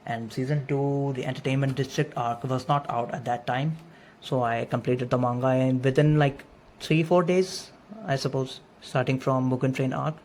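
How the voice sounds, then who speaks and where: Relaxed non-native English-speaking man. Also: medium pitch. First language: not English. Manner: unhurried, friendly, mixes Japanese terms into his English. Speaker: manga collector presenting his set. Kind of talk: casual show-and-tell overview. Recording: faint noisy room ambience. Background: faint background noise.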